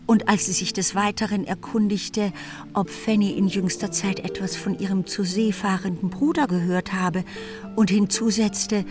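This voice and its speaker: A woman's voice: on the low side, natural voice. A woman narrating a literary radio drama in scripted storytelling style.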